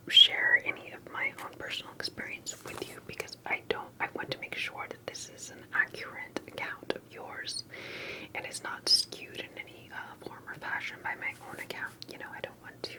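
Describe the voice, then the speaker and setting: A woman speaking in a soft, breathy whisper, gently and carefully asking questions in an ASMR interview roleplay. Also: moderate pace, rough recording.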